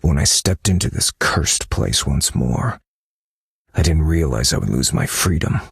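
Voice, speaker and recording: A man playing a brooding, haunted, distrustful novelist in a video game, with a gravelly, husky voice, delivering a dramatic monologue. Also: extremely low pitch, animated, a little slow, dry recording, clean studio sound, exaggerated voice. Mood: somber, reflective. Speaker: game character voice actor.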